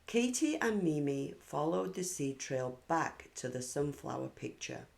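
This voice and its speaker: Female children's read-aloud narrator: expressive, unhurried, low-toned, telling a story to little kids. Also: creaky. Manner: measured, animated storytelling for young children.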